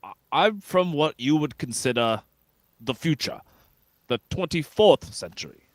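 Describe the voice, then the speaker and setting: A man playing an earnest, polite starship captain in an amateur radio play, speaking in a deliberate, halting way. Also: on the high side, animated, no room sound.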